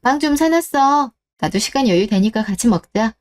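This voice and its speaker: Woman doing young wife in radio drama, highish voice, polite but won't back down. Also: animated, moderate pace, close to an everyday voice. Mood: caring. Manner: polite but firm, protesting. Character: indignant, caring young wife standing up for herself. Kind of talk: scripted Korean online radio drama.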